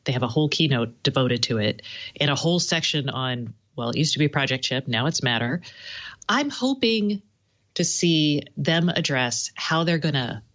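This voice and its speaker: Low-voiced woman tech guest, dull audio. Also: a bit bright. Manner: informal, conversational explaining. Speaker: tech journalist and podcaster, guest. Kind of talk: casual expert interview talk.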